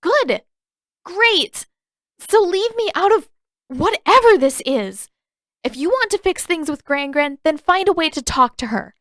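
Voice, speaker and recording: Woman voicing a high, fiery teenage girl. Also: expressive, unhurried, a bit bright, no echo, studio quality, exaggerated voice. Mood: exasperated. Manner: exasperated, emphatic, argumentative. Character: fiery, indignant, defiant teenage girl waterbender. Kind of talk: audiobook-style fan fiction character reading.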